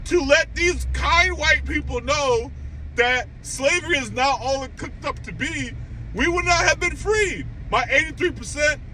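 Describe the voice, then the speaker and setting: A young man hosting an anime reaction channel, delivering an animated, emphatic rant straight to his viewers. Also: very high voice, measured pace, rough recording. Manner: animated, emphatic, incredulous outbursts; conversational, addresses viewers directly. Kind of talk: opinionated rant. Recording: steady traffic noise behind.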